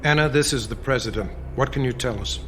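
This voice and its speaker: An actor playing a terse President who presses urgently for answers in a radio drama. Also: male voice, medium pitch, fast talker, noisy background, natural voice. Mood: tense, demanding. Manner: urgent, questioning, authoritative.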